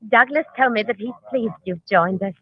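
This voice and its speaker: A woman's voice: on the high side, moderate pace, breathy edge. An actress playing a wistful young woman, a villain's doting sweetheart, confiding simply in a 1940s radio drama. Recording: thin, tinny old radio broadcast.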